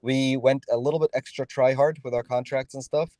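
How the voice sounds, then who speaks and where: A man speaking as a guest, explaining his team's work in a halting way with many restarts and fillers. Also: mid-range voice, fairly even intonation, warm tone, no room sound, clean studio sound. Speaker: guest developer. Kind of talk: casual conversational interview.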